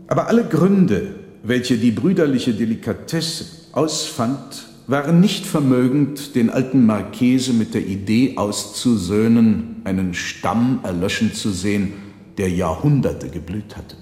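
A man playing a theatrical trickster conjurer in a radio drama, recounting his tale in measured, dramatic, formal language. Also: medium pitch, a little mellow, natural voice. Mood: solemn, dramatic. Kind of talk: radio drama storytelling, literary narration. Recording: echoey reverberant hall sound.

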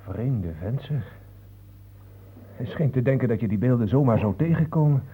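A man's voice: medium pitch, lively intonation, moderate pace, phone-quality sound, heavy background noise, close to an everyday voice. An actor playing a down-to-earth, curious male travel companion in a Dutch radio play, blunt and colloquial. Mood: sceptical, tired.

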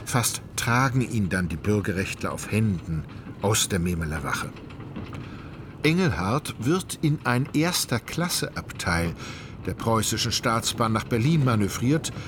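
A man reading scripted literary narration for a radio play in a measured, articulate storytelling manner. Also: on the low side, sing-song, noisy background. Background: music.

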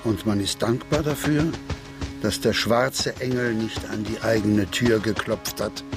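Very deep, resigned small-town man. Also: steady tone, unhurried. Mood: resigned, bitter. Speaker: character narrator in a radio drama. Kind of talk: radio drama monologue. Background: music.

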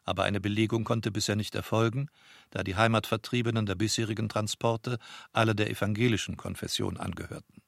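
Dry-recorded, creaky, low male report reader. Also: steady tone, fairly quick, no room sound. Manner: formal, bureaucratic. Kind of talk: reading an official report.

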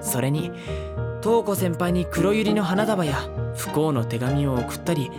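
A high school boy in an audio drama. He sounds earnest and youthful, a little prone to fluster, with clear music behind him. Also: expressive, unhurried. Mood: firm, accusing. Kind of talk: Japanese audio drama acting.

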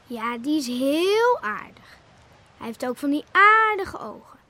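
A woman playing a lively, outspoken young village schoolgirl in a Dutch radio play. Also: high voice, measured pace, heavily acted. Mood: chatty, teasing.

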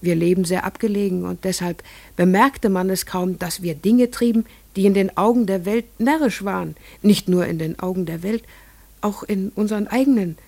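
An actress playing an entranced farmer's wife and mother, telling her strange memories in a measured, lyrical radio play monologue. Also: mid-range voice, gravelly, dark tone, dry recording. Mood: fervent, entranced.

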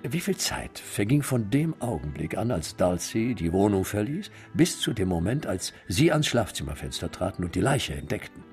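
An actor playing a gruff, sarcastic homicide sergeant who presses witnesses in a radio drama. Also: male voice, on the low side, very animated, normal speed, few pauses, darkish tone, faint music behind. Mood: questioning. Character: gruff middle-aged male homicide sergeant, impatient. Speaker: police detective sergeant. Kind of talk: German radio play acting.

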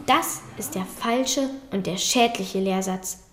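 A woman's voice: mid-range voice, slow pace, natural voice. A woman playing an earnest, learned young medieval girl, precise and respectful, in a radio drama. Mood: assured recital. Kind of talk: German radio drama acting. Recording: roomy echo, faint music behind.

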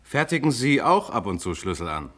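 An actor playing a composed police inspector in a German radio crime drama, questioning suspects with pointed insistence. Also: male voice, mid-range voice, sing-song, moderate pace, fairly bright tone. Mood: probing, insistent.

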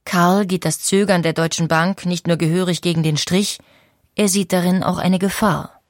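A woman giving calm, scripted documentary narration. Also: on the low side, normal speed, no room sound, studio quality.

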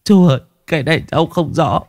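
A sniveling young thug begs in a high, thin, tearful voice, as a male storyteller puts him on. He whimpers and stumbles over his words. The sound is dry and close. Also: quick pace, no echo, close to an everyday voice. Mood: whimpering plea. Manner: tearful pleading, stammering.

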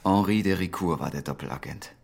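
An actor playing a sardonic, exasperated intelligence officer, musing aloud in a German radio drama. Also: male voice, deep voice, fairly quick, creaky, noisy background. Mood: bitter, exasperated. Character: brooding, exasperated male British intelligence officer.

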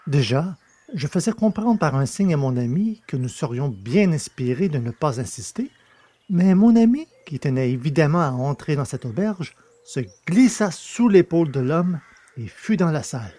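Mellow male storybook narrator. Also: on the high side, big pitch swings, moderate pace, no room sound. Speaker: audiobook narrator. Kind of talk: scripted literary narration.

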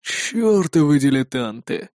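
A man's voice: on the high side, lively intonation, normal speed, mellow, no room sound, studio quality, lightly acted. A man voicing a cold, menacing armoured raider who talks with scornful threat in an audiobook story. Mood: weary disgust.

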